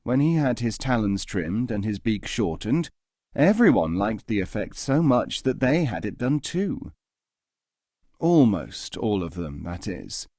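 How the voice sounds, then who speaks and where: A man narrating scripted tales as an audiobook reader, in a measured, articulate storytelling manner. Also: on the low side, warm tone, no echo, clean studio sound.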